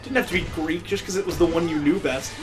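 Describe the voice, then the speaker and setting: A man's voice: a little high, quick pace. A man hosting a let's play, giving casual, sarcastic commentary as he plays a video game. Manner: wry, sarcastic joking. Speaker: gaming video host playing the game. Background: video game music.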